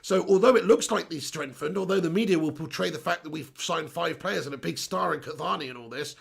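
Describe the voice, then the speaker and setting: A man with a hoarse, worn-out voice hosts a casual live stream, talking in loose, rambling runs. His voice sits fairly high and crackles with heavy fry. It sounds husky throughout. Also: brisk. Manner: rambling, repeats himself, reads viewer comments aloud. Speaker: host of a fan live stream.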